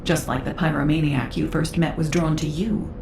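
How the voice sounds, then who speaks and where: An actress playing a composed, analytical researcher in a video game, speaking in a measured, formal way. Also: female voice, low-pitched, steady tone, background noise. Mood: curious, composed. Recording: reverberant voice over loud game music.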